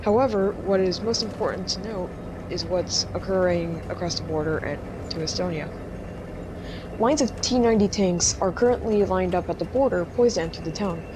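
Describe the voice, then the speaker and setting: A woman acts out a female war correspondent in a radio news drama, speaking on the lower side from the front line. The report comes through clear outdoor noise with a rumble of vehicles. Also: moderate pace, close to an everyday voice. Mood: measured, grave.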